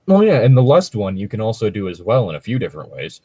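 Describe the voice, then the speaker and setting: A man's voice: lowish voice, wildly swooping pitch, fast, dark tone, breathy edge, no echo. A man chatting casually as a show co-host, sweary and riffing in a joking commentary. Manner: sweary, riffing, breaking into skits. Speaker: co-host of a story-reading show.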